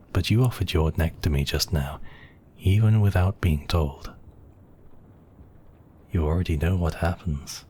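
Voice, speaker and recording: Man acting as a very deep, seductive vampire count. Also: a little mellow, slight background noise. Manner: intimate, murmuring, often slipping into whispers. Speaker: audio roleplay voice actor. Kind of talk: intimate ASMR roleplay acting.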